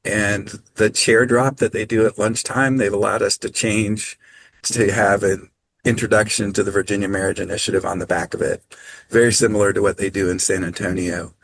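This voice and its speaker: A man presenting on a video call training, speaking in a measured, practical way with frequent ums. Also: on the low side, a little hiss or hum, low-quality recording. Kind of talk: online training talk.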